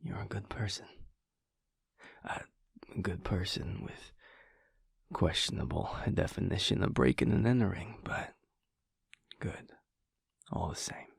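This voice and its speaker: Man playing a husky, whispery, flirty werewolf. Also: a little slow, pauses often, no room sound, clean studio sound, full character voice. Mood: earnest, hesitant. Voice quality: husky, breathy low murmur. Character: flirty, feisty male werewolf, tender and earnest underneath. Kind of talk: intimate romantic audio roleplay.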